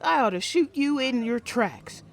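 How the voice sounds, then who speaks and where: A woman's voice: fairly high pitch, expressive, a little slow. A woman playing a proud, feisty sheriff in a comic western drama, with firm, folksy cowgirl talk. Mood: defiant, angry. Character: proud, feisty female sheriff of the Old West.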